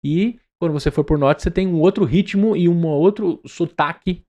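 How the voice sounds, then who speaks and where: A man's voice: a little high, normal speed, warm tone, dull audio. A man from Brazil with a São Paulo accent, a podcast host asking long chatty questions, often ending with a tag question. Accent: São Paulo Portuguese. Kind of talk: conversational interview.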